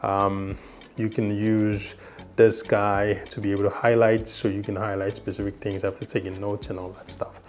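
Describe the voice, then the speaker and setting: A young man with a low voice, not a native English speaker, talks through a gadget's features in a casual review. He rambles, restarts and leans on fillers. He is seated in a small room indoors. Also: little pitch movement, normal speed, darkish tone. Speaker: tech reviewer. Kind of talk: casual product demo.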